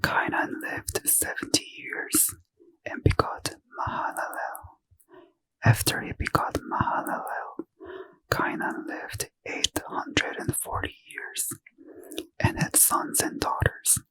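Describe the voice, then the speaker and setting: A man reading scripture aloud as a soft, slow ASMR whisper, gently lilting. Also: poor audio. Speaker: reader.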